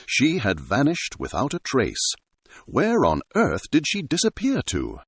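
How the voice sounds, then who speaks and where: A man's voice: medium pitch, huge pitch swings, measured pace, husky, dry recording, exaggerated voice. A man voicing an alarmed, bewildered stranded explorer in a sci-fi story, exclaiming dramatically.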